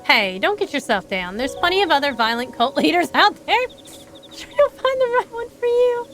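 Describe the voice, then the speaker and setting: A woman's voice: very high voice, very animated, normal speed. A woman streamer giving casual, reactive commentary while playing a game live. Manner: reactive, exclamatory. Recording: game music behind the voice.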